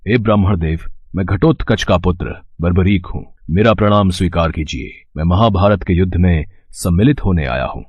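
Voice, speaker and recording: Man acting a deep-voiced brave young warrior prince. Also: brisk, hardly any pauses, slightly rough, no room sound, muffled recording. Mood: proud, confident. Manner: formal, declamatory Hindi, earnest. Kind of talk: scripted mythological storytelling drama.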